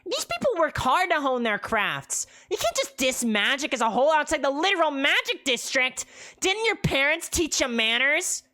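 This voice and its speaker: A narrator voicing a brash female harpy with a shrill voice who scolds loudly in slang. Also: very animated, moderate pace, exaggerated voice. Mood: scolding.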